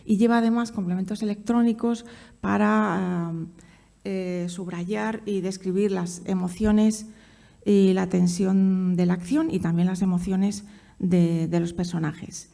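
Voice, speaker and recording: A woman giving a semi-improvised conference presentation, haltingly, searching for her words. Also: mid-range voice, fairly even intonation, vocal fry, mellow. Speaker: academic presenter at a film conference.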